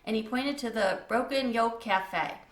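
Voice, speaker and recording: A woman's voice: fairly high pitch, unhurried, echoey room, poor audio. A woman reading a children's book aloud as a storyteller, in a scripted narration style.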